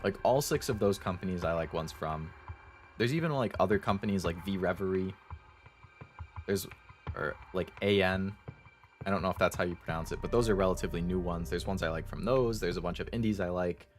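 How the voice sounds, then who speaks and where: Deep-voiced young man rambling casually. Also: brisk, soft background music. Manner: rambling, relaxed, many fillers. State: sore throat. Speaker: streamer. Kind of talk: casual chat with viewers.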